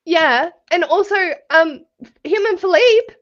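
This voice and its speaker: A woman co-hosting a book club livestream, chatting casually with animated reactions and frank slang. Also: extremely high pitch, slow pace, dull audio. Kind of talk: casual chat.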